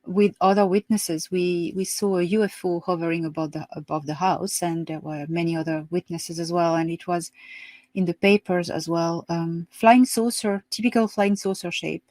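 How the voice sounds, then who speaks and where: A woman from France with a French accent, giving a hesitant, soft-spoken account as a guest on a video call. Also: fairly low pitch, steady tone, moderate pace, no room sound. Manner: soft-spoken, hesitant with many ums, laughs easily. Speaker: interview guest. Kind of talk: remote interview, storytelling.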